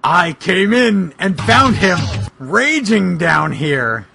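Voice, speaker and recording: A man voicing an earnest, huge, soft-hearted monster wrestler with a gravelly rasp and overwrought delivery in a mock-dramatic reading. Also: high-pitched, very animated, no echo, faint music behind, full character voice. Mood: earnest concern. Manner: slow, overwrought, declamatory.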